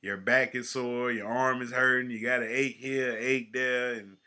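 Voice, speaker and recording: Podcast co-host, male, 40s, bright tone, slangy and animated, loud then mumbling at phrase ends. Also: medium pitch, a little slow, smooth. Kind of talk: casual chat.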